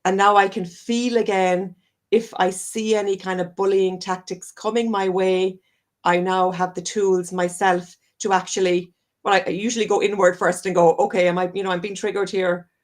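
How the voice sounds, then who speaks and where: A woman's voice: medium pitch, flat delivery, normal speed. An Irish woman, a wellness coach, talking earnestly and at length as a guest on a live-streamed video call interview, often circling back and correcting herself. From Ireland. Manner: earnest, rambling, self-correcting.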